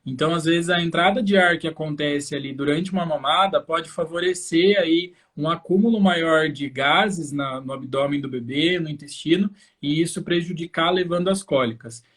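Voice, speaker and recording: A Brazilian man with a slightly high voice hosts a live class for parents. He explains things fluently, step by step, and addresses his viewers directly. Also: moderate pace, few pauses, a bit bright. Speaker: host, infant osteopath. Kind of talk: live educational talk to parents.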